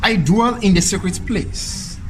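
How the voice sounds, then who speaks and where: A man's voice: high-pitched, unhurried, music in the background. A man with a Nigerian accent playing an upright, pious officer in a radio drama, speaking in earnest, defiant declarations. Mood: resolute.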